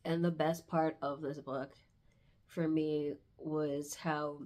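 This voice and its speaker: A woman's voice: sits low, little pitch movement, slow pace, darkish tone. A congested woman with a stuffy nose from hay fever, chatting casually and reflectively as she reviews books, often searching for words. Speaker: book reviewer vlogger. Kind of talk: casual book review chat, with passages read aloud.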